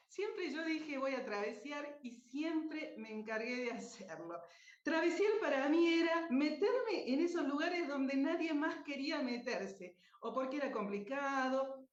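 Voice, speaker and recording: High-voiced Argentine woman, echoey room. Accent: Argentine Spanish. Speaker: speaker, radio drama writer and cultural manager. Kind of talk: personal talk at a virtual conference. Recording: echoey room, dull muffled sound.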